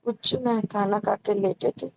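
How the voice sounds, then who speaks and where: A woman in a casual private phone call, asking soft, everyday questions. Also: mid-range voice, fairly even intonation, moderate pace, creaky, a little hiss or hum. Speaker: caller.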